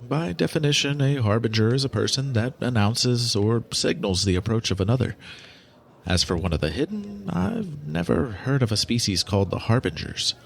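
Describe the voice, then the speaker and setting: Formal, precise guardian, male actor, creaky mid-pitched voice, earnest delivery. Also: animated, moderate pace. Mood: formal, probing. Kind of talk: dramatic audio drama acting.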